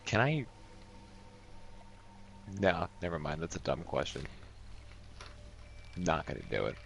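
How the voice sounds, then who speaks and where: A man's voice: low voice, sing-song, takes it slowly, gravelly, fairly bright tone. A man playing a tabletop game, chatting casually in a blunt, sweary way, with some background noise.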